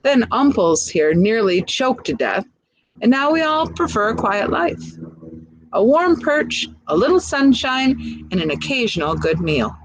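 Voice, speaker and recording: A woman's voice: on the high side, big pitch swings, a little slow, a little hiss or hum, low-quality recording. A woman voicing aging green snakes in a storybook, boastful and cozy as they ramble about their glory days. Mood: rueful, contented. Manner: rambling, gabby reminiscing.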